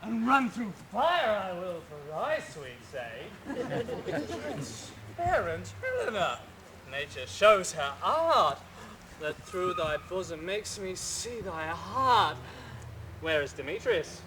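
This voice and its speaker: A man's voice: high-pitched, big pitch swings, slow pace, background noise, exaggerated voice. A man playing an ardent, fickle young lover, speaking earnest Elizabethan verse. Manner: earnest verse, breaks into laughter.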